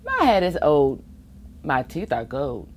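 A middle-aged woman reads a children's picture book aloud in a very slow, deliberate delivery. Her pitch swoops widely as she plays up the rhymes and adds playful asides. There is faint room noise behind her. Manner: expressive, chuckling asides, acts out the rhymes. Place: library children's reading corner, indoors.

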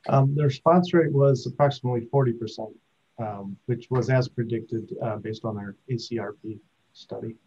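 A man in his forties giving technical explanations as a panelist on a video call, halting mid-phrase, in slightly dull audio. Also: medium pitch, mellow, slightly rough. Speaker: survey researcher on a panel. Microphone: computer video-call microphone.

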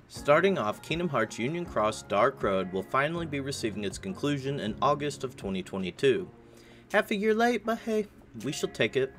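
Slightly raspy American man over music. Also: a little high. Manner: casual, informal address to viewers. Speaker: gaming news channel host. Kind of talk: scripted news recap narration. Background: music behind the voice.

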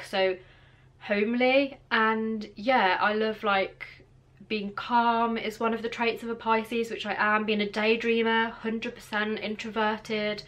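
A woman's voice: medium pitch, a bit bright. A woman in her late twenties from Birmingham, England, with a local accent, chatting to camera in a casual Q and A from her bedroom, rambling with lots of fillers. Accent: Birmingham English. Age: 20s. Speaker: vlogger. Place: bedroom with clothes rail, indoors.

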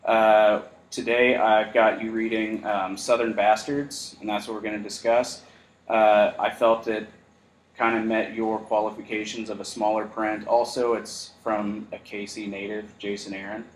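A man's voice: on the low side, little pitch movement, unhurried. A man hosting a podcast in casual chat, joking and self-deprecating. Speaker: podcast host. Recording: roomy echo.

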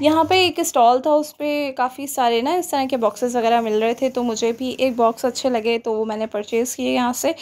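A woman's voice: high-pitched, a little mellow. A young woman with a Pakistani Urdu accent narrating a shopping vlog in a chatty, rambling way with many fillers. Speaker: vlogger.

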